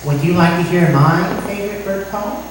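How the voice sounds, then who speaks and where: Woman doing a pompous male radio host nuts about birds, lowish voice, super echoey far from mic. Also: natural voice. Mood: single-minded. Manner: pompous, deliberate, curt. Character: self-important, bird-obsessed male talk show host. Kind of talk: old-time radio comedy acting. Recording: echoey room, distant mic, faint noise.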